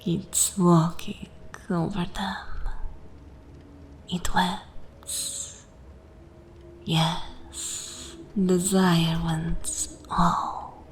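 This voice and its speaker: A woman playing a seductive, cruel and jaded vampire mistress in a dark fantasy ASMR roleplay, speaking breathily in slow, commanding, theatrical phrases. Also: lowish voice, little pitch movement. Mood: seductive menace. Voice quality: breathy whisper.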